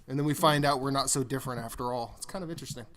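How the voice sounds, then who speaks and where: A man's voice: medium pitch, rapid-fire, a crowd in the background. A man co-hosting a casual gaming podcast, rambling through his points with frequent restarts and laughter. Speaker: podcast co-host. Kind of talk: casual chat.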